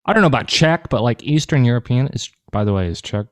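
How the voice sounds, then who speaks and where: Male podcast co-host, mid-pitch, profane and rambling, dry close mic. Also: animated, fast talker, no echo. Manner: swears a lot, rambling. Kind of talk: casual podcast banter.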